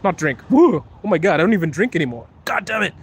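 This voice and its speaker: A man's voice: sits high, sing-song, fast, a little hiss or hum. Playful young Zimbabwean man streaming. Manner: laid-back, laughs often, playful teasing. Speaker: livestream host. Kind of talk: casual live-stream chat, reading comments. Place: outdoors on a wet street at night. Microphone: phone held while walking.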